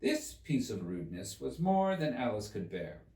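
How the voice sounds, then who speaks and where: A man reading a classic children's story aloud as expressive scripted narration. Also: medium pitch, normal speed. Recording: roomy echo, distant mic.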